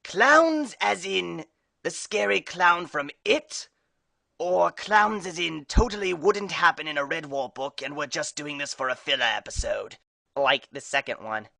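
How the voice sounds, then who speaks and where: A man's voice: on the high side, measured pace, gravelly, dry recording, exaggerated voice. A man playing a pompous, sarcastic animal warlord villain in a parody cartoon dub. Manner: theatrical, deadpan sarcasm.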